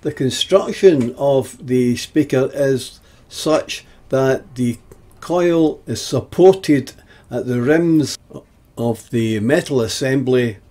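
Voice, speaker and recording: A man in his seventies giving an explanatory technical lesson in a deliberate, halting way, with pauses between phrases and a husky voice. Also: mid-range voice, mellow. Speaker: presenter of an instructional video.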